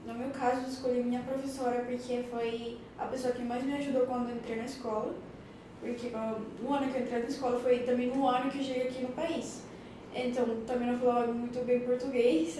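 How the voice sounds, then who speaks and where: High-voiced girl student, hesitant, far from mic, super echoey and noisy. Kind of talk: documentary interview.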